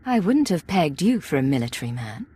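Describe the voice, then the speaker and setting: A cool, guarded secret agent in a video game. Her voice sits fairly low and has a husky grain, and she keeps her delivery controlled and probing. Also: very animated, a little fast, dark tone, heavily acted. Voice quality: slightly husky. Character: Imperial intelligence agent, guarded, composed, probing woman operative. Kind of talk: video game cutscene acting.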